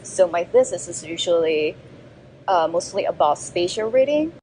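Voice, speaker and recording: Soft-voiced Korean-accented woman. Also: fairly low pitch, animated, moderate pace, slight background noise, low-quality recording. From South Korea. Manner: thoughtful, hesitant, many fillers. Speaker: graduating design student. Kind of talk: interview answers.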